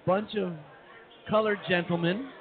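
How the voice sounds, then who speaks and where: A man's voice: sits high, fairly even intonation, unhurried. A man co-hosting a comedy radio show in casual banter. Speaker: radio co-host. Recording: thin phone-like sound, crowd noise.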